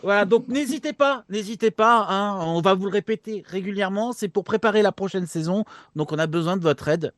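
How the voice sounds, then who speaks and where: A man's voice: high voice, brisk, hardly any pauses, a bit bright, no room sound, studio quality. A man talking casually to viewers and fellow panelists as a regular of a show. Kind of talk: casual talk to viewers and co-hosts.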